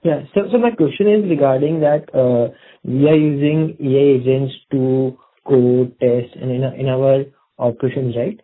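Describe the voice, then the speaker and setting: A man's voice: medium pitch, normal speed. Polite, hesitant man calling on narrow phone line. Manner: polite, hesitant, repeats words. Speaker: analyst caller asking questions. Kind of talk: spontaneous question on earnings call. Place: conference call line. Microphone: telephone.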